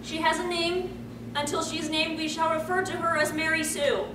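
Heard from across a noisy, echoey room, a young woman reads aloud for comedy. Her voice is high and projected, with wry asides between lines. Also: level tone, moderate pace, distant mic. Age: young adult. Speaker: convention panel host. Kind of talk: comedic live reading of fan fiction. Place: small convention panel room. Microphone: far camera.

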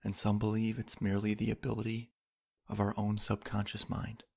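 Male sleep-hypnosis narrator: lowish, husky with some fry, soft, unhurried delivery with long pauses. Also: monotone. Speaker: guided meditation narrator. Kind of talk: sleep hypnosis guided meditation, slow scripted narration.

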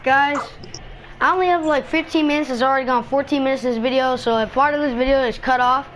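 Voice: high-pitched, steady tone, quick pace, fairly bright tone, a little hiss or hum, low-quality recording. A young streamer chatting casually over gameplay in a slangy, rambling way. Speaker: gaming streamer.

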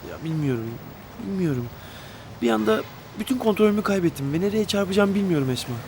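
A man's voice: high voice, animated, fast, creaky, darkish tone, a little hiss or hum. An actor playing a young rich man who pleads desperately and pities himself, in a TV drama with music beneath. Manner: pleading, emotional outbursts. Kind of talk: TV drama acting.

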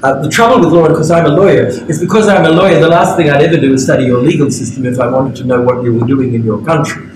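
A man's voice: fairly high pitch, fast, few pauses. An older man speaking bluntly and emphatically as a panelist in an academic discussion. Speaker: conference panelist. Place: outdoor conference terrace. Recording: echoey with background noise.

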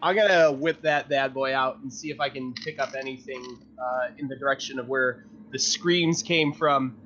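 A man's voice: mid-range voice, normal speed, a bit bright. A man with a mid-range voice talks casually as a player in a tabletop game. The audio is a little dull, and music plays behind him.

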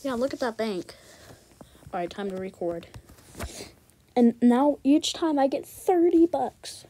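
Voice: mid-range voice, big pitch swings, unhurried, warm tone, a little hiss or hum. A gamer giving casual, slangy gameplay commentary and often repeating words and phrases. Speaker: gaming video host.

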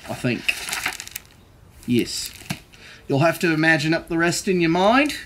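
A man's voice: on the high side, expressive, measured pace, noisy background. A man in his thirties reading a children's book aloud in a theatrical, jokey manner, with playful asides. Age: 30s. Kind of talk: expressive storybook read-aloud with playful asides. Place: living room, seated on a couch.

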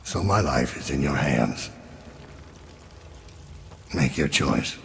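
An actor playing a weary, brooding middle-aged assassin full of regret in a video game, grim and deliberate, with a deep gravelly rasp. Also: male voice, animated, a little hiss or hum, exaggerated voice. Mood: regretful, resigned. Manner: slow, grim, deliberate delivery. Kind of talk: dramatic video game monologue.

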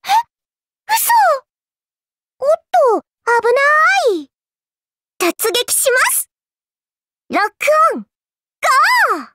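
A woman's voice: extremely high pitch, huge pitch swings, extremely slow, long pauses, bright, forceful tone, slight background noise, exaggerated voice. A woman voicing a cute, mischievous young girl in an anime mobile game, perky and teasing, with polite, playfully smug delivery. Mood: cheerful, excited. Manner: perky, teasing, polite speech with cutesy exclamations.

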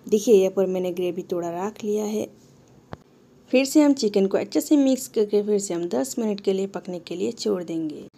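Gravelly-voiced woman narrating a home recipe. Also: medium pitch, dark tone. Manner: instructive, talks the viewer through each step. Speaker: cooking channel host. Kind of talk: step-by-step recipe voice-over.

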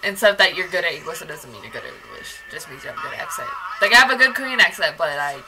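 A woman's voice: on the low side, animated, rapid-fire, crowd noise, poor audio. A young adult woman in a casual, joking reaction commentary, talking to camera from a small bedroom. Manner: playful, joking, chatty. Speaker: vlogger reacting to music videos. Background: music from the clips.

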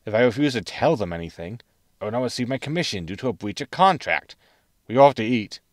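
An actor playing a pragmatic, wry, world-weary spaceship captain in a science fiction audio sitcom. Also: male voice, mid-range voice, very animated, quick pace, dry recording, studio quality, close to an everyday voice. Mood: wry concern.

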